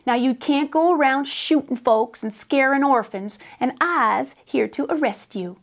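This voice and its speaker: A woman's voice: sits high, unhurried. A woman voicing a boastful, well-meaning but dim Wild West sheriff in a storybook, with a drawling, folksy American cowboy dialect. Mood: blustering.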